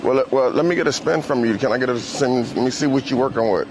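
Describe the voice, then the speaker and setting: A middle-aged man from Indiana, hosting a prank, talking in a playful, mock-earnest, smooth manner inside a parked car. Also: medium pitch, fast, background noise. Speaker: prank show host. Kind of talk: in-character prank chat. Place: outdoors on a street, then inside a parked luxury car.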